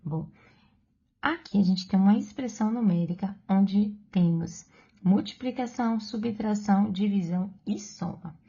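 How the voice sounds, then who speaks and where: A woman with a Brazilian Portuguese accent explains exam math one step at a time, pausing to check in with the viewer. Her voice is dark and mellow with a gravelly edge. The recording sounds dull and lo-fi. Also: mid-range voice. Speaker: math teacher.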